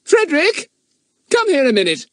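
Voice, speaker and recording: A narrator voicing a brisk, no-nonsense middle-aged mother in a storybook. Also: mid-range voice, huge pitch swings, dry recording, studio quality, voice pushed up. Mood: impatient. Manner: brisk and impatient.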